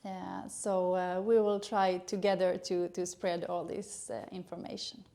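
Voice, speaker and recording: A middle-aged woman from Sweden with a Swedish accent, a gynecologist introducing her educational channel in earnest, halting English with many fillers. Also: lowish voice, little pitch movement, gravelly, mellow. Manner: earnest, hesitant with frequent uh fillers.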